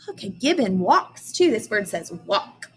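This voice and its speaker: Animated woman teaching young children. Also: medium pitch, a little slow, a little hiss or hum. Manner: animated, emphatic, addresses young children simply. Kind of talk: children's read-aloud lesson with explanations and questions.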